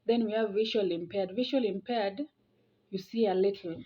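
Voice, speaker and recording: A woman presenting an informal explainer, talking haltingly and repeating phrases for emphasis. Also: medium pitch, mellow. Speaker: video presenter. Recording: dull lo-fi audio.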